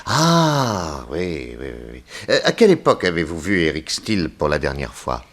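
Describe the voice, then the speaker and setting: Deep-voiced, animated male detective. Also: moderate pace, exaggerated voice. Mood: probing. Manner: probing, ironic, theatrical. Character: shrewd, insistent male private detective questioning suspects. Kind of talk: 1960s French radio detective serial acting.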